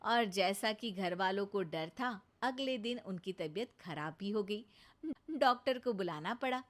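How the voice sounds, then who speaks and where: A woman's voice: mid-range voice, animated, unhurried. Woman narrating a cartoon story.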